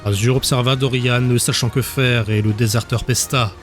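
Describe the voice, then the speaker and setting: A male narrator reads a dramatic fantasy audiobook in a level, medium-pitched voice. The scripted storytelling runs over clearly audible music. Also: fairly even intonation, normal speed.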